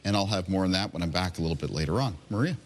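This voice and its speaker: Lively man giving the weather. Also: sits low, very fast. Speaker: weather presenter. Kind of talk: weather forecast.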